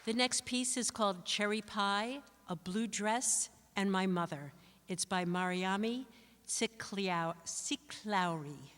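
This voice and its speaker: Female event host introducing literary pieces, mid-pitched, long room reverb. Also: slow pace, a bit bright. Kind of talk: introducing readings to an audience. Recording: reverberant hall recording.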